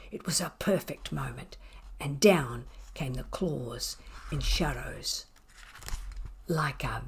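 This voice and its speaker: Slow, expressive woman storybook reader. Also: on the low side, slightly rough. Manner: deliberate, dramatic pauses. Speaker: author reading a children's book aloud.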